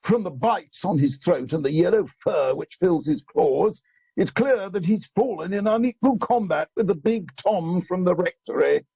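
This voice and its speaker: An actor playing a pedantic, solemn country-house gentleman in an amateur radio play, speaking in formal, measured phrases. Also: sits high, animated, creaky, slightly rough, heavily acted, poor audio. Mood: grave. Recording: music behind, home online recording.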